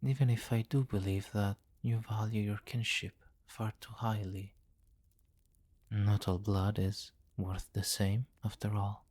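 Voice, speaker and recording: A man playing a possessive, commanding vampire king in an intimate ASMR fantasy roleplay, speaking formally and deliberately, with courtly, doting authority. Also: on the low side, flat delivery, darkish tone. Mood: imperious, persuasive.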